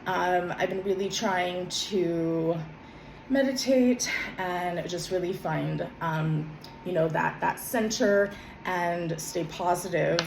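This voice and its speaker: A woman, a little hoarse from a cold, talking gently with many pauses and self-corrections, then reading her own journal. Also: on the low side, echoey room, noisy background. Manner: soft, hesitant, many ums and self-corrections. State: slightly hoarse from a summer cold. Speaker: vlogger.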